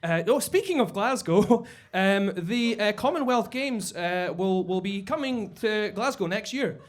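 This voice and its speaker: A man headlining a live stand-up set, with a halting delivery full of fillers and stumbles. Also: sits high, expressive. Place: basement comedy club stage.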